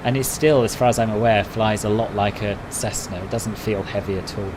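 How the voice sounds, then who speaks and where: A man's voice: lowish voice, fairly even intonation, a little fast, noisy background. A man chatting casually on a live stream, answering viewers' messages one by one. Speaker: flight sim streamer.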